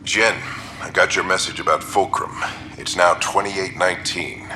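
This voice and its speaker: Weary game commander, extremely deep, gravelly, forceful edge, brooding and clipped. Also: male voice, fairly even intonation, moderate pace, soft background music. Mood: grave, wary. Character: weary, grave military father, explorer keeping a log.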